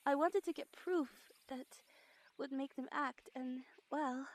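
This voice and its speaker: A woman's voice: high voice, takes it slowly. A woman playing an idealistic, compassionate young operative burdened by guilt in a radio drama, earnest and emotional. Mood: earnest, troubled. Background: background music.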